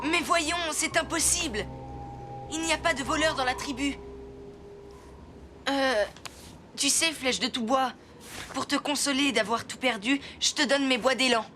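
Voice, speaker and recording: A woman's voice: sits high, a little slow, long pauses, noisy background. A woman voicing a kind, thoughtful little boy in a French cartoon dub. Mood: concerned, kind.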